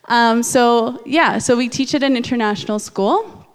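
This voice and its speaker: A woman's voice: on the high side, measured pace, clean tone. A woman from Canada, a teacher, casually introducing herself to a church with light fillers. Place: church sanctuary. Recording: long hall reverb.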